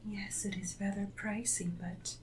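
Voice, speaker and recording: A woman playing a devoted, deferential Regency lady's maid in a soft-spoken, often whispered ASMR roleplay, with formal, gentle speech. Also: mid-range voice, flat delivery, a little slow, slight background noise, natural voice. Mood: attentive, soothing.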